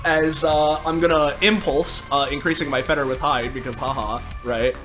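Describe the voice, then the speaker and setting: A man's voice: a little high, steady tone, normal speed, fairly bright tone, soft background music. A man playing a tabletop game, chatting casually in a jokey, sweary manner. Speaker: player.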